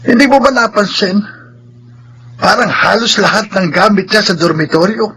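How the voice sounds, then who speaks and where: A man's voice: high voice, moderate pace, sharp tone, husky, heavily acted. An actor with a Filipino accent playing an earnest, worried father in a radio drama, speaking emphatically and solemnly. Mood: worried, complaining. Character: earnest, worried father of two grown daughters.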